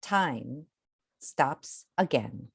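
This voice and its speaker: A woman reading aloud to children in an expressive, theatrical storytelling style, drawing out key words. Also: rumbling low, drawn-out words, creaky, breathy edge, dry recording, studio quality. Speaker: children's picture book reader.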